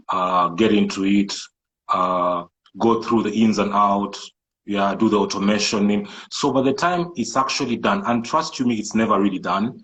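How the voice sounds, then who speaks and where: Kenyan man, low and measured. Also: a bit bright, rough recording. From Kenyan coast, Malindi. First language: Swahili. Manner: measured, thoughtful, frequent restarts and fillers. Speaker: guest entrepreneur. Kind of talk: casual interview talk.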